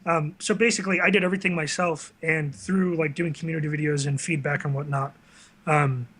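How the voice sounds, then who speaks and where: A man's voice: fairly high pitch, steady tone, fairly quick, a bit bright. A man hosting a casual talk show, speaking conversationally with an enthusiastic manner.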